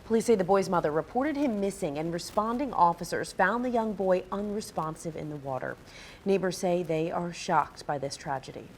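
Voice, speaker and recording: An American woman anchors a local morning newscast from a script. Her voice is on the low side for a woman. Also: moderate pace, slight background noise, rough recording. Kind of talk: scripted local TV news reading.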